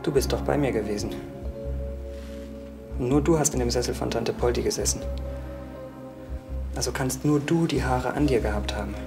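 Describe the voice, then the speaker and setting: An actor playing an earnest, insistent young heir in a German crime radio drama. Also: male voice, medium pitch, moderate pace, vocal fry, long pauses, over music, close to an everyday voice. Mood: accusing.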